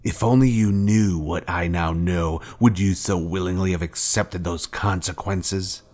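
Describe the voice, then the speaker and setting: An actor playing a vengeful fallen Jedi master in a video game, cold and commanding, with grave, deliberate, formal speech. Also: male voice, sits low, fairly even intonation, darkish tone. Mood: grave, accusing. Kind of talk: scripted video game villain dialogue.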